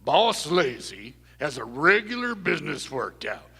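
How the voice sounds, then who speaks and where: An actor playing a chatty, bragging outlaw cowboy in a live Western radio play, with a folksy drawl. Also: male voice, a little high, very animated, measured pace, vocal fry, bright, forceful tone, breathy edge, exaggerated voice. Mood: boastful, confiding. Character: chatty, boastful male cowboy outlaw gang member. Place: performing arts theater stage. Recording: very reverberant hall, stage performance.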